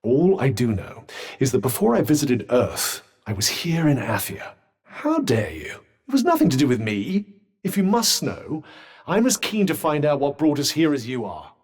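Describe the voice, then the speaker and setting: A man's voice: mid-range voice, huge pitch swings, normal speed, husky, in a large hall. A man with a British accent playing a sardonic, pompous magical talking vambrace in a video game, speaking in formal, wordy phrases with dry wit. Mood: measured, dry, then indignant. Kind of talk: video game character dialogue.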